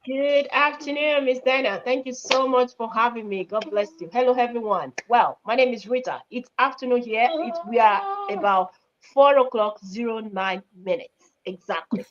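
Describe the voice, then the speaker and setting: Polite nigerian-born woman on a video call, slightly high, muffled audio. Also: normal speed, a little hiss or hum. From Benin City, Nigeria. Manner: polite, gracious. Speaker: telephone banking agent, panelist. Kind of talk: self-introduction on a live call.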